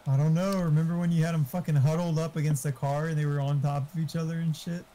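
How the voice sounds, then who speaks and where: A man's voice: fairly high pitch, little pitch movement, quick pace, a crowd in the background, low-quality recording. A man in casual gaming voice chat, giving opinionated, informal tech advice with some swearing. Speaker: gamer giving computer hardware advice.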